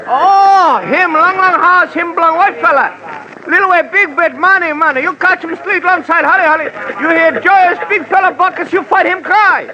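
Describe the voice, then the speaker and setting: An actor playing an excitable street beggar who hurries along in broken pidgin English, in old radio drama style. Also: male voice, extremely high pitch, normal speed. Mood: excited, eager. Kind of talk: 1950s radio character acting. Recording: dull old radio, crowd behind.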